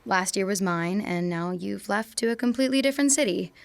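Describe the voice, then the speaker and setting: A young woman acting an insecure, fearful student desperately in love, in an emotional, halting audio drama monologue. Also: medium pitch, vocal fry, lightly acted. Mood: fearful, hurt.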